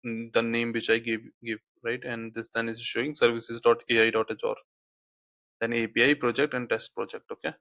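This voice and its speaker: A man whose first language is Hindi, walking through a software tutorial on screen, peppering his speech with "okay, like" fillers. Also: mid-range voice, flat delivery, fairly quick, gravelly, dry recording. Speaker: tutorial instructor.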